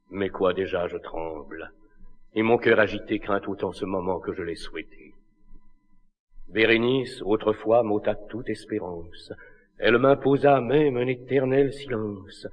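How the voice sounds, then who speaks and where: An actor playing a noble, lovelorn king of classical tragedy, hopelessly devoted, declaiming solemn verse with anguish. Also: on the low side. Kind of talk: classical tragedy stage acting. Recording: muffled archive recording, long hall reverb.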